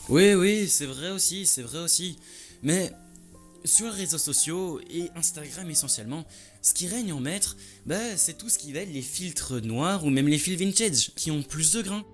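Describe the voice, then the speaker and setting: Man playing chatty, passionate lynx, slightly high. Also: expressive, normal speed, faint music behind, natural voice. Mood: persuasive. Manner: informal, slangy, chatty. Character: passionate, touchy lynx owner of a photo lab. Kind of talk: French audio story dialogue.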